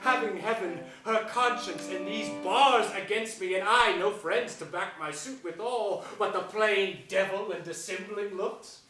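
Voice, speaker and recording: A villainous duke in a Shakespearean stage play, heard from a distance in an echoing theatre. He is mocking and pleased with himself, declaiming every line slowly and deliberately. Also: high voice, bright, forceful tone, faint music behind. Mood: gloating. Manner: declamatory, deliberate, with sardonic relish. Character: gloating, scheming villainous royal duke, mockingly self-admiring. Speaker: stage actor. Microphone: distant camera or stage mic.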